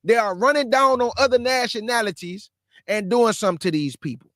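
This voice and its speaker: Male host with a high voice and dry sound, scolds in slang while reacting to clips. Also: brisk, fairly bright tone, no room sound. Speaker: show host. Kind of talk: reaction commentary.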